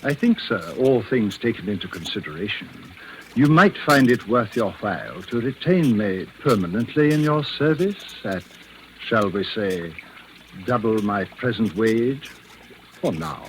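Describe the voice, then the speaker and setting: An actor playing a deferential, slyly menacing manservant in a 1940s radio drama, speaking in a formal, measured, obsequious way. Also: male voice, fairly low pitch, lively intonation. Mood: smoothly insinuating. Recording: noisy vintage 1940s radio recording.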